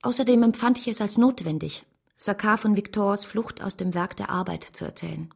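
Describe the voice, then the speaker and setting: An actress playing a principled, grieving woman who tells her story with measured restraint in a German radio drama, in a breathy voice. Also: mid-range voice. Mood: subdued narration. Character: Caroline, a grieving, principled woman narrating bitterly, devoted to her brother.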